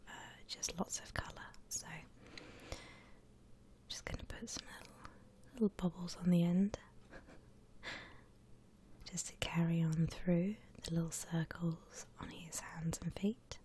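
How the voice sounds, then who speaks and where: A woman whispering gently and breathily as she guides listeners through a drawing, in the style of an ASMR tutorial. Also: fairly low pitch, fairly even intonation. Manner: gentle, unhurried step-by-step explaining. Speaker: host of an art ASMR channel.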